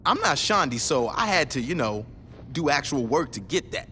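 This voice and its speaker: A man's voice: medium pitch, moderate pace, background noise, lightly acted. An actor playing a sarcastic young male gang member in a video game cutscene. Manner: sarcastic and animated.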